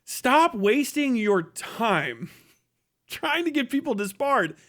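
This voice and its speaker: A man's voice: very high voice, very animated, takes it slowly, a bit bright. A man giving casual livestream commentary in a blunt, profane, rambling way. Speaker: livestream host.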